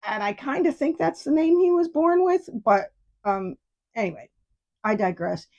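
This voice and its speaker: A woman's voice: high voice, big pitch swings, moderate pace, darkish tone, lo-fi sound. A woman in her fifties from New York State, hosting a solo podcast in a rambling, digressive and profane way. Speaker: podcast host. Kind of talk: casual solo podcast monologue.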